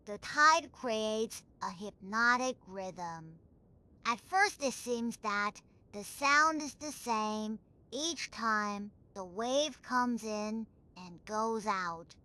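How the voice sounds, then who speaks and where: A woman narrating a scripted bedtime sleep story in a soothing, measured, unhurried manner. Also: on the high side, sharp tone.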